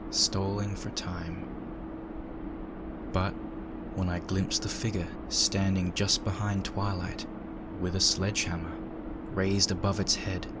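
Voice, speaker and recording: Male narrator for dark audio fiction, deep register, somber and measured, heavy sound effects behind him. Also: heavy background noise. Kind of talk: dramatic first-person story narration. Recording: loud engine and ambient sound effects. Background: background sound effects of ambience and machinery.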